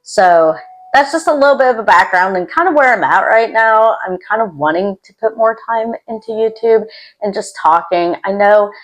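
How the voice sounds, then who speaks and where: A woman's voice: medium pitch, animated, normal speed, poor audio. A woman talking casually to camera as a YouTube host, in an introverted, self-deprecating and rambling way. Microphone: external microphone.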